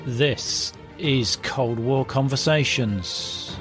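A man hosting a podcast, reading a measured, scripted introduction. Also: medium pitch. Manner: clear, measured, promotional read. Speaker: podcast host. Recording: music bed under voice.

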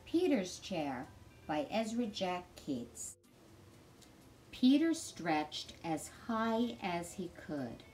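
A middle-aged woman reading a storybook aloud for children in a deliberate, expressive, clearly enunciated way. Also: fairly low pitch, slight background noise, rough recording.

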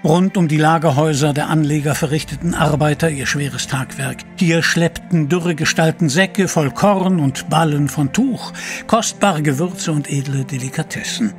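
Ein Mann erzählt theatralisch und getragen die Handlung eines Hörspiels. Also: male voice, on the high side, normal speed, soft background music. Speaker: narrator. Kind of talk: scripted audio drama narration.

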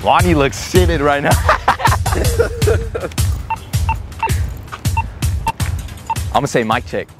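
A man's voice: fairly high pitch, wildly swooping pitch, extremely slow, pauses often, loud music behind the voice. A young man hosting a prank video, talking in casual slang as he is filmed out and about. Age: young adult. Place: out and about in car parks and stores.

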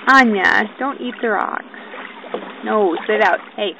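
A woman's voice: medium pitch, unhurried, gravelly. A young woman off camera, filming her toddler at a lakeshore on a phone and coaxing her in a playful sing-song voice. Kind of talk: home video talk to a toddler. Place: outdoors at a lakeshore. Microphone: phone held by the camera operator. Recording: muffled phone audio with water noise. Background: lapping water and splashing.

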